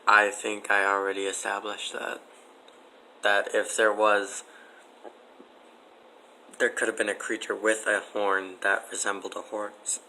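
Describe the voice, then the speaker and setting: A man as a podcast guest in a casual debate, skeptical and argumentative, with halting delivery. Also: lowish voice, monotone, pushed and bright, slight background noise, poor audio.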